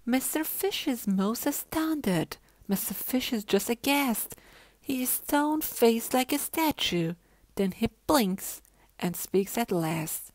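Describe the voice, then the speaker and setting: Woman storybook reader, highish voice, very lively intonation, rhythmic, expressive. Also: slow, no echo. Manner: expressive, rhythmic rhyming delivery. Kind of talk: children's story read-aloud.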